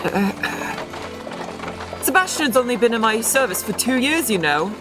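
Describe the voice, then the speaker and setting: A woman playing a self-possessed young noble boy with a measured, formal manner in an anime-style manga dub. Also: a little high, big pitch swings. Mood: calm, certain. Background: music.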